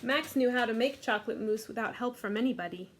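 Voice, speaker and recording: A woman librarian leading a children's storytime, reading aloud gently and unhurriedly with a few fillers. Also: on the high side, fast, slight background noise.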